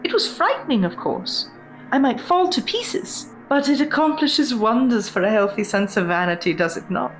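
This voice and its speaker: A prim, genteel porcelain doll played by a woman speaks in a highish voice over clear background music. She is vain and quietly obsessive, choosing each formal word with theatrical care, as in a gothic horror radio tale. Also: lively intonation, natural voice, poor audio. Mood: vain, wistful. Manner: formal, refined, dramatic diction with deliberate pauses. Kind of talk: gothic horror audio drama monologue.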